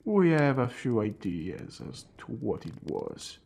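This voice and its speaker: A man playing a courteous, calm and wry centuries-old vampire in an ASMR roleplay, speaking in a hushed voice that drifts into whispers with theatrical pauses. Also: mid-range voice, gravelly, exaggerated voice. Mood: explaining, guarded. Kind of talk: ASMR roleplay addressed to the listener.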